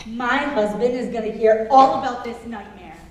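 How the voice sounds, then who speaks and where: A woman's voice: a little high, moderate pace, slight background noise, close to an everyday voice. A woman playing a haughty, irritated politician's wife in jail, curt and exasperated, in a staged reading. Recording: echoey stage from a distance.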